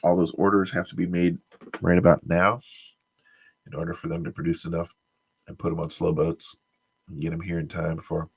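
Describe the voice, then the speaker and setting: A congested man with a deep, gravelly, husky voice reads tech news headlines aloud, stumbling now and then. Between items he drops dry, wry asides as the host of a casual stream. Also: flat delivery, normal speed, long pauses. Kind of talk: reading tech news aloud with offhand commentary.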